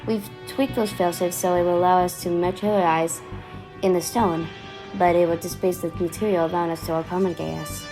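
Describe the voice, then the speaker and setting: A woman's voice: lowish voice, steady tone, a little fast, music in the background, lightly acted, rough recording. A woman playing a no-nonsense, impatient time-travelling commander in an audio drama, curt and businesslike as she explains. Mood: firm, impatient.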